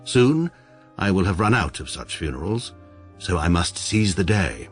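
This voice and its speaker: An actor with an English accent playing a stiff, precise barrister who narrates a radio comedy. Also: male voice, sits low, a little slow, faint music behind. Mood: dry. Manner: dry, precise, deadpan. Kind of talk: radio drama acting.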